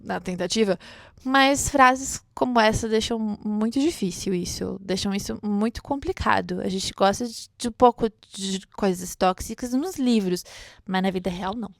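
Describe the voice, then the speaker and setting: A woman's voice: medium pitch, vocal fry, no room sound, clean studio sound. A Brazilian woman hosting a book read-along, commenting casually and expressively like a chatty, gushing fan.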